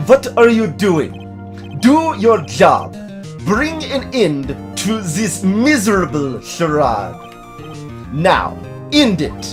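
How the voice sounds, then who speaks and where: Someone putting on a theatrical vengeful old villain prosecutor, swooping pitch. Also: big pitch swings, music in the background. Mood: cold, commanding. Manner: theatrical, seething, dramatic drawn-out delivery.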